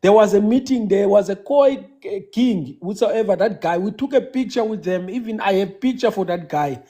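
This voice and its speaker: A South African man whose first language is Xhosa, calling in to a casual live chat and talking haltingly, with many restarts and fillers. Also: high-pitched, animated, mellow. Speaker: caller.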